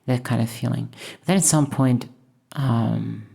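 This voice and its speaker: A woman from Russia, thoughtfully talking over her painting process in a hesitant, musing way with many pauses. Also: extremely low pitch, vocal fry, mellow, husky. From Moscow, Russia. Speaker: painter and channel host. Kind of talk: reflective voice-over while painting.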